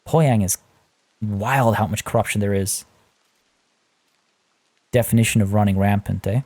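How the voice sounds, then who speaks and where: Mellow, slightly hoarse man narrating gameplay. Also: fairly low pitch, measured pace. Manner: relaxed, thinking aloud with many fillers. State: losing his voice, a bit hoarse. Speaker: let's play host. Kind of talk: casual gameplay commentary. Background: faint game music.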